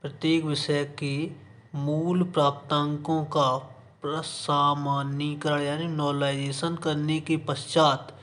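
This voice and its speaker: A man's voice: on the high side, flat delivery, unhurried, fairly bright tone, slight background noise. A man teaching, reading out an official notice and explaining it, repeating phrases for emphasis and mixing in English terms. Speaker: exam coaching teacher.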